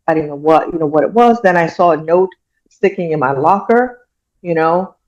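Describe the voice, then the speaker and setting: A woman's voice: deep voice, lively intonation, normal speed, darkish tone, muffled recording. A middle-aged woman from Iran, a guest telling personal stories in a casual interview in an earnest, reflective way, often saying "you know".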